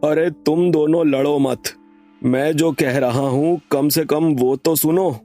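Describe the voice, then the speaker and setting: An elderly father in a moral tale, acted by a man, speaks in a slightly high voice. He is earnest and worried, pleading as he admonishes his grown sons. Faint music plays behind him. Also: steady tone, slow, mellow. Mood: earnest, firm. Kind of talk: acted moral story dialogue.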